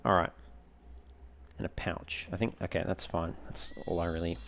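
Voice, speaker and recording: A man giving low-key, casual gameplay commentary, musing aloud as he plays. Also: flat delivery, moderate pace, creaky, fairly bright tone. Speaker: let's play host.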